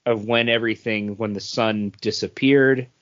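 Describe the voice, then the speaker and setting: A man's voice: lowish voice, steady tone, normal speed, fairly bright tone. A man co-hosting a podcast, chatting casually through a comic book review in a rambling way that repeats phrases as he thinks. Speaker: podcast co-host. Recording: dull lo-fi podcast audio.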